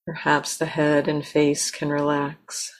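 Slow, low-pitched woman guiding meditation. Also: monotone. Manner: gentle, unhurried, with long pauses. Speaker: meditation teacher. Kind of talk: guided meditation.

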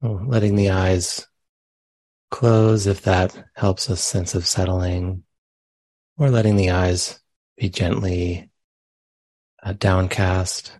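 Slow, very deep-voiced man guiding meditation. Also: fairly even intonation, dry recording, poor audio. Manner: gentle, unhurried, with long pauses. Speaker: meditation teacher. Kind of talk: guided meditation. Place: meditation center.

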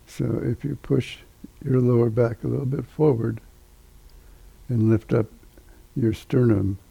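Meditation teacher, older male, very dark mellow tone, husky, halting delivery with long pauses. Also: medium pitch, steady tone, no room sound, lo-fi sound. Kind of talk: guided meditation instruction.

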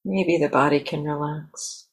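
A woman leading a guided meditation as a teacher, speaking gently and haltingly in short, softly inviting phrases. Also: low-pitched, steady tone, breathy edge, low-quality recording. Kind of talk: guided meditation, unscripted and spoken in pauses. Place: meditation center talk.

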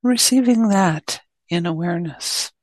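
Female meditation guide, slightly low register, unhurried delivery with spacious pauses, dry sound. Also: dry recording. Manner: gentle, long pauses between short phrases. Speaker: meditation teacher.

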